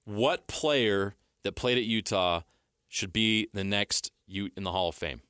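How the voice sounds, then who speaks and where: Deep, bright-toned radio host. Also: male voice, clear, resonant tone, no room sound, studio quality. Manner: brisk, upbeat, leads the segment. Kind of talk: sports radio talk.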